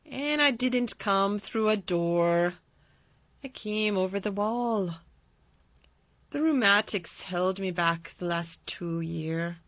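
A woman's voice: medium pitch, lively intonation, sharp tone, no echo, dull audio. Woman putting on a crabbed old Yorkshire gardener man. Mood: dry. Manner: dry, slow, obstinate.

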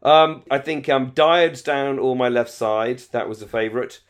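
A man's voice: mid-range voice, a little slow. A British man in casual discussion as a podcast co-host, talking in a rambling, enthusiastic way with plenty of hedging. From Britain.

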